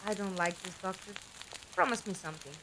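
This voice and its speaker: A woman acting in a fan audio drama as a bewildered, earnest, quick-witted companion who questions everything. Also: medium pitch, noisy background, natural voice. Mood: earnest, uneasy.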